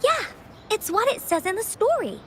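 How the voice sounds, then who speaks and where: A woman's voice: very high-pitched, sing-song, normal speed, a little hiss or hum. A woman voicing a cheerful, childlike girl who talks about herself in the third person, in a video game. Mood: friendly. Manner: bubbly, refers to herself by name. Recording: game audio with music behind.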